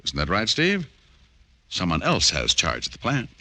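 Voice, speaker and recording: An American actor playing a tough, wry, stubborn private detective in a 1950s-style radio drama, with needling delivery. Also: male voice, low voice, huge pitch swings, moderate pace, pushed and bright, heavily acted. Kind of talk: 1950s radio detective acting with first-person narration. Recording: old radio recording with hiss, clicks.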